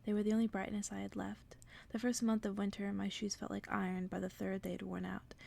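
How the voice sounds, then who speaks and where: Whispering woman reading a bedtime story, slightly creaky. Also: mid-range voice, little pitch movement. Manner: soft, breathy, unhurried whispering. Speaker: ASMR storyteller.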